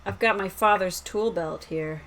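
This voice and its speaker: A woman's voice: mid-range voice, a little slow, a bit bright. A woman chatting casually as a player in a tabletop roleplaying game. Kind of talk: casual chat. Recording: faint background noise.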